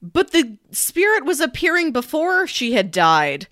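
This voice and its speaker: A woman's voice: sits high, sing-song, measured pace, bright, forceful tone, dry recording, clean studio sound. A woman co-hosting a film podcast, chatting casually and animatedly and quoting her own reactions. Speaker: film review podcast co-host. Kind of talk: casual chat about a film.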